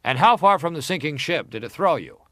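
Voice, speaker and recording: A man's mid-pitched voice with very lively intonation, recorded dry. a probing, insistent senator at a dramatized hearing. He presses each question in formal, interrogating phrases. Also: a little fast, no room sound. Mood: pressing. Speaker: actor reading testimony. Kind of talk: dramatized reading of hearing testimony.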